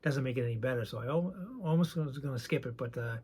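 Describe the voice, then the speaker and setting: A soft-spoken man with a raspy voice, chatting casually and rambling a bit while showing his collection. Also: mid-range voice, quick pace. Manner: soft-spoken, not loud; rambling, hesitant with many ums. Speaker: card collecting channel host. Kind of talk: casual show-and-tell chat.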